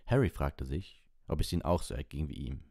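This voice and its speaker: A man's voice: extremely low pitch, lively intonation, brisk, warm tone, dry recording, clean studio sound. A man reading a story aloud as an audiobook narrator.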